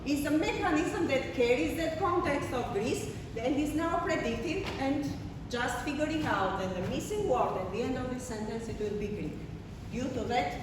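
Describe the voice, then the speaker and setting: A woman's voice: high-pitched, lively intonation, normal speed, few pauses. A woman lecturing a class, explaining step by step with fillers, restarts and frequent "okay?" checks, heard from far off in a large hall. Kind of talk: classroom lecture with questions to students. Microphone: far room microphone. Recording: echoey hall from far off, noisy.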